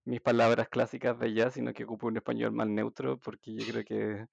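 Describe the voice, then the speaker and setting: A man with a Chilean Spanish accent, chatting as a podcast guest in a relaxed, hesitant storytelling way. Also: mid-range voice, fairly quick, gravelly, no echo. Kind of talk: casual interview chat. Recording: dull, dry audio.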